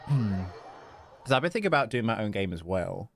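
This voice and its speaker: A man's voice: on the low side, sing-song, measured pace, soft background music. A man livestreaming a video game, chatting casually and distractedly to viewers between reactions to play. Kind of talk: casual chat while playing a video game.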